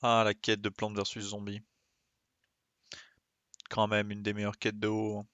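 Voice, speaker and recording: A man's voice: lowish voice, completely flat delivery, measured pace, fairly bright tone, smooth, no room sound. A man doing casual live gameplay commentary, thinking aloud as he plays and often muttering to himself. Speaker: game streamer.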